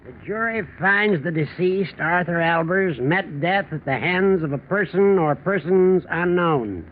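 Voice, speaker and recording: A formal inquest official with a high-pitched voice, in 1940s radio drama. The recording is thin and band-limited. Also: male voice, lively intonation, unhurried, phone-quality sound, noisy background. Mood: formal, solemn. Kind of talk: 1940s radio mystery acting.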